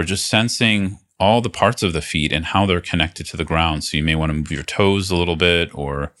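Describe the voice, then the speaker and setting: Male meditation teacher, very deep voice, gently instructive, reassuring, leaves space between phrases. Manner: unhurried, reassuring, phrases broken by pauses.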